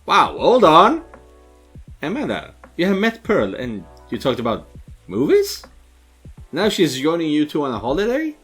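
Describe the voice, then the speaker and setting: A man's voice: on the high side, wildly swooping pitch, measured pace, a bit bright, husky, soft background music. A man hosting a let's play, giving casual, reactive commentary as he goes through a game's dialogue. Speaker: let's-play host and narrator. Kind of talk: casual game commentary with read-aloud dialogue.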